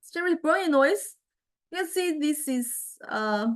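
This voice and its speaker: A woman giving an informal software demonstration lecture, hesitantly thinking aloud and searching for words as she explains. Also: high voice, expressive, normal speed. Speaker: music technology lecturer.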